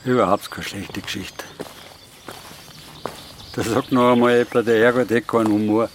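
An actor playing a fond, wry, stubborn old hiking companion with a Bavarian accent, in a naturalistic dialect radio drama. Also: male voice, medium pitch, steady tone, moderate pace, slight background noise, natural voice. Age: older.

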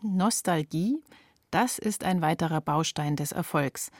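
A woman's voice: sits low, unhurried, no echo, studio quality. A middle-aged woman reading scripted radio feature narration as the reporter.

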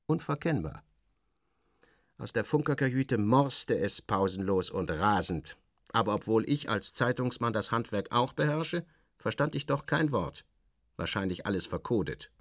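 A man's voice: fairly low pitch, expressive, measured pace, dry recording, studio quality. An actor playing a sardonic, self-assured journalist, narrating his travels in a literary radio drama with wry, theatrical, clipped phrasing. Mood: observant. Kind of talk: literary first-person narration, dramatic audio reading.

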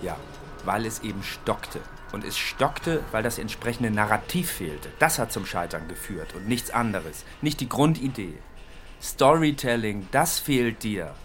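An actor playing a smooth-talking, needling office boss in a radio drama, ironic and insinuating as he prods a colleague. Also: lowish voice, very animated, measured pace, fairly bright tone, faint music behind. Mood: persuasive.

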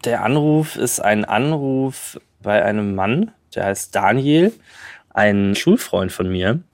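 A man, telling stories casually and haltingly as a guest at home. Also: on the low side, animated, darkish tone. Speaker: podcast guest. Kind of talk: casual conversational storytelling. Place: his own apartment.

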